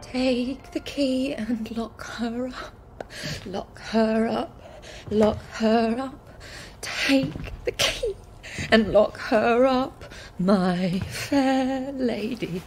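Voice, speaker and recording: A woman's voice: fairly high pitch, very slow, a little mellow. An actress playing a desperate, imperious older woman in a video game, genteel and unhinged. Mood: eerie sing-song.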